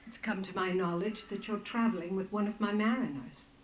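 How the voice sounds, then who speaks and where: An actress playing a calculating head matron in a video game, speaking with cool, formal deliberation. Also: female voice, mid-range voice, fairly even intonation, fairly quick, roomy sound, slight background noise, natural voice. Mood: courteous. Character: poised, calculating female head matron of a powerful order. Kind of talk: video game dramatic dialogue.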